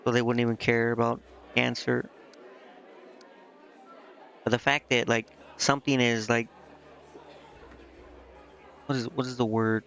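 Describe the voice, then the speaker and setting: A man's voice: mid-range voice, flat delivery, measured pace, vocal fry, pauses often, a bit bright, a faint crowd. A man doing casual live game commentary, informally thinking aloud and reading dialogue out as he goes. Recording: dull, band-limited sound with music. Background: game music.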